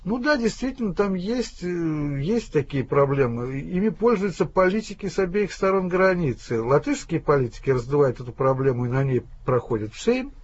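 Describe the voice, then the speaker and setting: A man guest in a radio interview, explaining his views in a measured way with frequent restarts, from Russia. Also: fairly high pitch, big pitch swings, darkish tone, husky. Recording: dull lo-fi radio recording.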